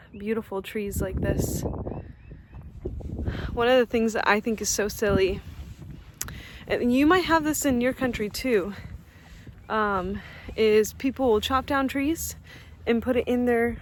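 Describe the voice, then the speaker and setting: A woman's voice: mid-range voice, slight background noise. A young woman from Russia, whose first language is Russian, chatting casually to her viewers while walking outdoors on a campus and laughing often. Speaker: vlogger. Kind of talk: casual vlog walk-and-talk.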